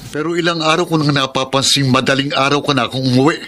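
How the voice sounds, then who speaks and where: A man's voice: mid-range voice, fairly even intonation, quick pace, natural voice. A man playing a weary, stern security guard husband in a Filipino radio drama. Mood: stern, suspicious. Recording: loud music bed behind voice.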